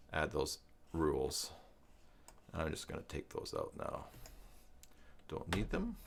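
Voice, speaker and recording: A man's voice: low voice, vocal fry, fairly bright tone. A man hosting a casual live tutorial, thinking aloud and rambling as he demonstrates and chats with viewers. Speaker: live stream host and web tutorial instructor. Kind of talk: casual live screen-share tutorial with chat.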